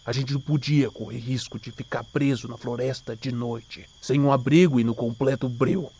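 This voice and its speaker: A man's voice: medium pitch, steady tone, normal speed, mellow, slight background noise, lightly acted. A man playing a cautious, stern old survivalist leader in an audio drama, firm and guarded. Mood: hushed, firm.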